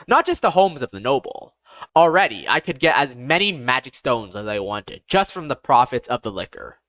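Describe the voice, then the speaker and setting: A narrator of ambiguous-sounding gender reading a first-person fantasy story in a measured, deliberate, expressive way. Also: medium pitch, dry recording. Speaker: audiobook narrator.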